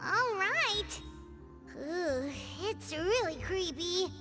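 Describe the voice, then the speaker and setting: A woman voicing a tiny floating female companion, bubbly and excitable, talking about herself in the third person. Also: very high voice, very slow, full character voice. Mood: chirpy. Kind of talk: game dialogue. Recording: game audio with music behind.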